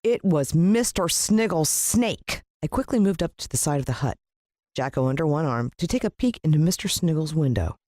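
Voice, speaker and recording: A woman with an American accent giving a dramatic, expressive scripted narration of a children's story. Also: sits low, moderate pace, no room sound, studio quality. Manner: dramatic, playing a child's first-person point of view.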